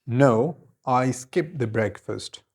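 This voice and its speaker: A man, teaching an English lesson and giving clear, deliberate model answers, each repeated in several forms. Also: medium pitch, darkish tone. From Khyber Pakhtunkhwa, Pakistan. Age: 20s.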